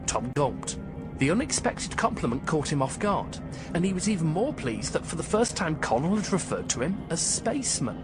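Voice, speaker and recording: A man's voice: a little high, very animated, moderate pace. A man narrating a science fiction story as a scripted audiobook. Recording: loud music under narration.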